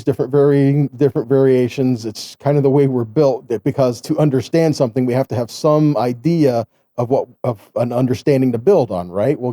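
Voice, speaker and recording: Man teaching scripture in a very mellow voice. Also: medium pitch, dry recording, clean studio sound. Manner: earnest, exhorting, with rhetorical questions and "right?" check-ins. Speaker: Bible teacher, host of online Torah studies. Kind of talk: informal sermon, scripture teaching to camera.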